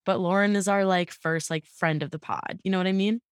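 A woman's voice: on the low side, steady tone, brisk, clean tone, no echo, studio quality. A young woman co-hosting a casual chat podcast, upbeat and giggly with lots of fillers, fighting allergies. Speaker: podcast co-host.

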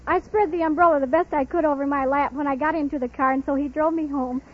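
A woman's voice: high voice, steady tone, fast talker. A woman contestant chatting on a radio quiz show, on a muffled old recording before a studio audience. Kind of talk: chatty anecdote and short answers on air.

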